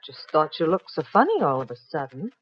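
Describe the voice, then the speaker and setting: A woman playing a chatty, easily nettled housewife, conversational and expressive, in 1950s radio drama style. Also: deep voice, brisk, a little hiss or hum. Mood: concerned, hurt. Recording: dull old radio broadcast recording.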